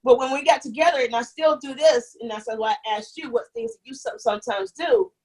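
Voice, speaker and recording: A young adult woman telling her own story as an interview guest, candid and animated, often restarting sentences and acting out what others said. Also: sits high, brisk, low-quality recording. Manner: animated, restarts often, acts out quoted voices.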